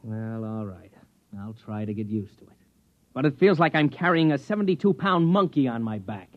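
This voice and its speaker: A man's voice: medium pitch, animated, moderate pace, lightly acted. A man playing a put-upon, grumbling space explorer, wry and plain-spoken, in 1950s radio drama style. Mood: wry narrating. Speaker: narrator and lead character. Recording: dull vintage radio recording.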